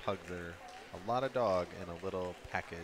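A man commentating live on a dog show, with a relaxed, self-deprecating joking manner. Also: low-pitched, normal speed, crowd noise, background noise.

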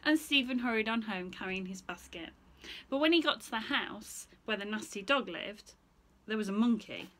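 A woman's voice: fairly high pitch, lively intonation, moderate pace, a bit bright, slight background noise. A woman in her thirties reading a picture book aloud to children as a teacher, asking the listener questions as she goes. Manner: asks the listener questions between story lines. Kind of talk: expressive children's story reading. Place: small bright room indoors, seated in an armchair.

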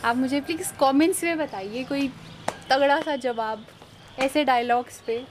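A woman's voice: sits high, moderate pace. A young woman hosting a casual vlog, talking to the camera held at arm's length on an open rooftop terrace, explaining things to her viewers. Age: young adult. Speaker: vlog host. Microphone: handheld camera at arm's length. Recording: noisy outdoor ambience.

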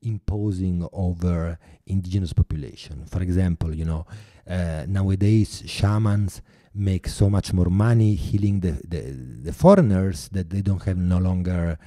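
A man in his forties with an Italian accent, speaking as a podcast guest in a thoughtful way, pausing often and restarting phrases. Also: low voice, fairly even intonation, mellow, no room sound, studio quality. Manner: thoughtful, many hesitations and restarts. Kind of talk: podcast interview.

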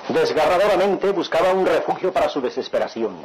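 A man's voice: a little high, lively intonation, fairly quick, a little hiss or hum, lightly acted, poor audio. A man narrating a radionovela in a solemn, theatrical, melodramatic style. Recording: radio drama under loud music.

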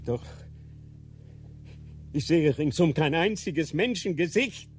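An actor playing an aged, ailing Grand Inquisitor in a radio drama, solemn and halting, declaiming with remorse and fervor that rises to outcries. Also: male voice, fairly high pitch, lively intonation, a little hiss or hum. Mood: solemn confession. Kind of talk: German radio drama acting.

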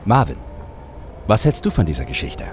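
A man's voice: low-pitched, big pitch swings, moderate pace, a little hiss or hum, voice pushed down. A man voicing a laid-back, sarcastic hitman with an offhand, slangy manner in an audio play. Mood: nonchalant.

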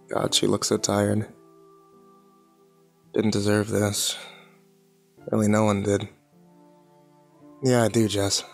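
A man's voice: lowish voice, vocal fry. An actor playing a weary, haunted, cynical man who broods and swears through a first-person horror audio drama. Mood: weary, brooding, then wry, guarded. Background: music behind the voice.

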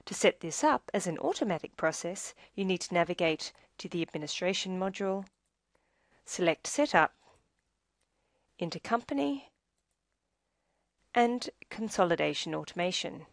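A woman reading scripted instructional narration for a software tutorial in a measured, explanatory way. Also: fairly low pitch, lively intonation, gravelly, no room sound, clean studio sound.

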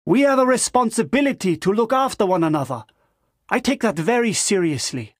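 Cocky joker soldier for a game, high voice, dry close sound. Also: male voice, expressive, brisk, no echo. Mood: earnest. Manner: playful, self-assured. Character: upbeat, vain, joking male special-forces shield operator. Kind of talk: video game character voice lines.

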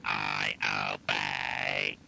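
A cold, ruthless robot creature from a sci-fi audio drama. The voice is extremely gritty and electronically filtered, grinding out each word very slowly, with music behind it. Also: male voice, fairly high pitch, gravelly, exaggerated voice. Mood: cold, obedient. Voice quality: harsh, grating, electronic. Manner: halting, staccato monotone commands. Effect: robotic ring-modulator voice filter.

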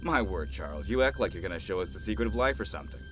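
An actor playing a skeptical, excitable male doctor in an audio drama, with an incredulous manner. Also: fairly low pitch, rapid-fire, slight background noise. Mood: impatient. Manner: incredulous, animated.

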